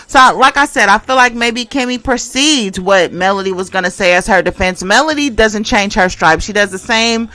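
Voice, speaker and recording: A woman's voice: medium pitch, normal speed, hardly any pauses, pushed and bright. A woman giving a casual tarot reading and commentary in a conversational, emphatic way, repeating phrases to drive points home. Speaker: tarot reader and channel host.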